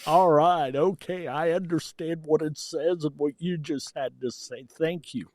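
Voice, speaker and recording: A man's voice: fairly high pitch, fairly even intonation, a little slow, a little mellow. A man hosting a podcast, giving introductions and asking interview questions. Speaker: podcast host.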